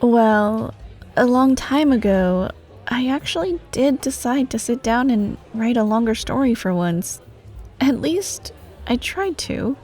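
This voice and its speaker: Woman acting slow, high-voiced friendly young student. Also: animated. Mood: wistful, self-deprecating. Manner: soft, casual, hesitant, giggly. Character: friendly, teasing, bashful popular female student. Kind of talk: wholesome ASMR roleplay, speaking to the listener. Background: music, cafe ambience.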